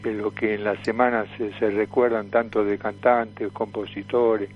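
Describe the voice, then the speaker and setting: An elderly man with a Rioplatense Argentine accent reminisces as a radio guest, rambling and halting with frequent fillers. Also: fairly low pitch, level tone, highs cut off, a little hiss or hum. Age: 70s or older. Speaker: guest, veteran cartoonist, impressionist and tango singer.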